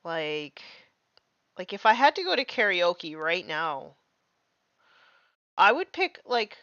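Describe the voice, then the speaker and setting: A woman's voice: lowish voice, very animated, slow pace, a bit bright, no room sound. Chatty young woman streamer, dry muffled sound. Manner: chatty, lots of "like", self-deprecating. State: recovering from lost voice. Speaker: streamer painting miniatures. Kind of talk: casual chat while painting.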